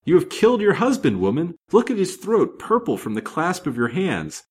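A man's voice: lowish voice, sing-song, moderate pace. A man voicing a wicked, scheming widow in a story reading, theatrical, taunting and triumphant. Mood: accusing.

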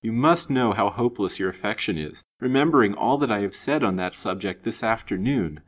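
A man voicing an honest, earnest young gentleman in an audiobook story, speaking formally and steadily with gentle rebuke. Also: lowish voice, animated, fairly quick. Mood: gently firm. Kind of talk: dramatised audiobook reading.

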